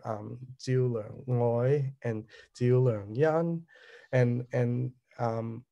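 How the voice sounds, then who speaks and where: A young man walks through his slides at an artist talk, pausing often with ums and self-corrections. His voice is mellow and mid-pitched. Cantonese was his first language, though he speaks English here. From Southern California. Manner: halting, many ums and restarts. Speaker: resident artist.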